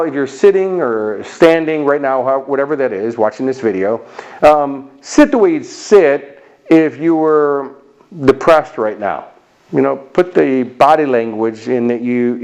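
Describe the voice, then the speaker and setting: Animated American motivational speaker man. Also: mid-range voice, low-quality recording. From Chicago, United States. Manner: animated storyteller, acts out little voices and jokes. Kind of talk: motivational talk to camera.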